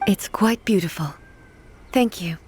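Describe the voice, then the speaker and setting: An actress playing a dignified, contemplative immortal ruler in a video game, speaking in a calm, formal, measured and reflective manner. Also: female voice, mid-range voice, a little hiss or hum, natural voice. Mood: serene.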